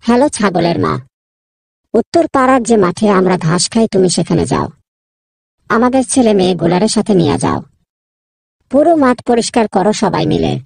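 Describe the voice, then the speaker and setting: A woman's voice: mid-range voice, animated, brisk, creaky, pauses often, darkish tone, slightly rough, no echo. A woman voicing a fussy, inquisitive busybody animal who bosses everyone around in a children's cartoon. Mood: bossy, eager. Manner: inquisitive, bossy, repeats a catchphrase.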